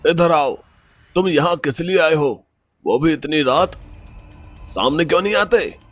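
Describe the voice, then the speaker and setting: Man acting a frightened high-pitched rich merchant. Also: unhurried, soft background music, close to an everyday voice. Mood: alarmed, suspicious. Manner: alarmed, stammering questions. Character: frightened wealthy male merchant startled at night.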